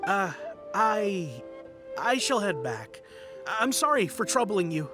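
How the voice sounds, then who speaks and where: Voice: medium pitch, sing-song, slow pace, music in the background. Stammering nervous young man. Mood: flustered. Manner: hesitant, stammering. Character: nervous young man recovering from a fake curse.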